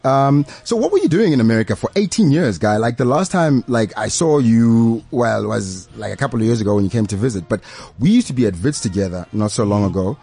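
A man's voice: medium pitch, expressive, a little fast, gravelly, hardly any pauses, dark tone, breathy edge. A man with a South African accent hosting a casual studio chat, playful and chatty. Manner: playful, laughing, loose and chatty. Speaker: radio host. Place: radio studio.

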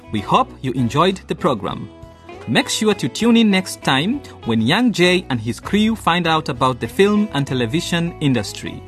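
A man's voice: a little high, a bit bright. A man reading a scripted radio programme outro as the announcer. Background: guitar music.

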